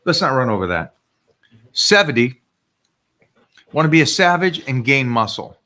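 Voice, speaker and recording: Man, fitness coach host, live stream chat, emphatic and energetic, repeats words when fired up, mid-range voice. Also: medium pitch, normal speed.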